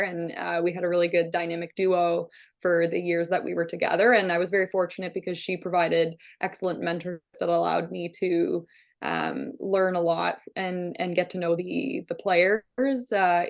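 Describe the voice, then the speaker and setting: A woman's voice: on the low side, gravelly. A woman in her thirties from Ontario, Canada, whose first language is Hungarian, answering interview questions in a confident, chatty way with frequent fillers. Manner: confident, talks in run-on clauses with frequent fillers. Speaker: criminal defence lawyer, guest.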